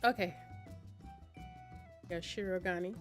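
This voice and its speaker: A woman's voice: on the low side, takes it slowly, faint music behind. Chatty streamer woman with a cold. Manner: chatty, rambling, self-mocking asides. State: slight cold, sniffling. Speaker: variety game streamer. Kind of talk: casual live-stream chat while gaming.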